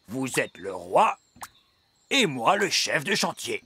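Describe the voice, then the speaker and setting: An actor voicing a stubborn, condescending old elf foreman in a French children's cartoon, unhurried and self-important. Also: mid-range voice, sing-song, pushed and bright, breathy edge, exaggerated voice. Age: older.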